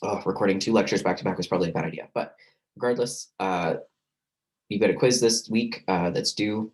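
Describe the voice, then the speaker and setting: A man lecturing on a recorded class, hoarse and losing his voice, talking loosely with many fillers and restarts. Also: sits low, fairly quick, a little mellow. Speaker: university lecturer.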